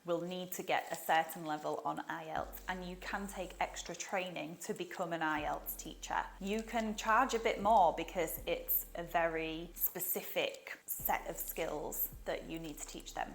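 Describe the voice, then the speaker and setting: A woman's voice: fairly low pitch, a little slow, few pauses. A woman with a Yorkshire accent giving casual, upbeat advice on teaching online, chatty and full of asides. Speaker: online English teaching coach.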